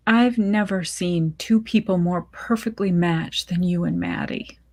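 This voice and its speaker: A woman reading the part of a gentle, affectionate middle-aged mother in a short story, soothing and reassuring. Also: fairly low pitch, measured pace. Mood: comforting. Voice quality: husky, creaky, gentle.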